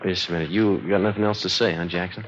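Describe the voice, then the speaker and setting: A deep-voiced police sergeant played by a man in an old radio crime drama. He is terse and deadpan, sticking to procedure. The sound is muffled and full of old-recording noise. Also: rapid-fire, natural voice. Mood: pressing, stern. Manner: matter-of-fact, deadpan. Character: terse, businesslike police detective sergeant, also narrating the case. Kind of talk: 1940s-50s radio acting, clipped procedural delivery.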